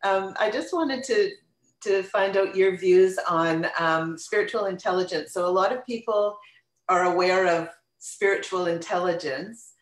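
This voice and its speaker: A woman's voice: mid-range voice, a little slow. A woman hosting an interview, asking friendly, encouraging questions. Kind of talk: interview conversation. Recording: echoey room sound.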